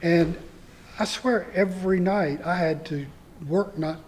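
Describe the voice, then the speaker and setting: A man guest on a theatre podcast with a soft voice, speaking hesitantly with many fillers. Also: high-pitched, measured pace, slight background noise. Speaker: guest, community theatre actor. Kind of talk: casual interview.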